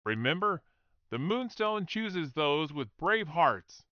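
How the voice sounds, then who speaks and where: Man putting on a high-pitched tiny forest sprite. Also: big pitch swings, slow pace, no room sound, muffled recording. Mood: encouraging. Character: tiny giggling winged forest sprite, cheerful and encouraging.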